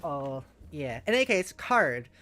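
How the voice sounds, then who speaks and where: A woman streamer chatting casually with viewers, giggly and halting as she thinks aloud and reads out messages. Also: low voice, sing-song, a bit bright. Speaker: streamer, host. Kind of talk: casual livestream chat, reading out viewer messages. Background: music.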